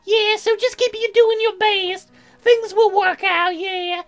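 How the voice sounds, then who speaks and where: A man voicing a crude, silly, excitable, childlike woman in a comic visual novel, rambling in a dim-witted way. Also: very high voice, slow, soft background music, pitched above the performer's own voice, heavily acted.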